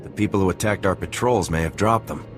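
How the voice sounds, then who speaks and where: An actor voicing an easygoing male army lieutenant with a relaxed, dry tone in a game cutscene. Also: low-pitched, quick pace, lightly acted. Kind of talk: video game cutscene voice acting. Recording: game audio with music bed.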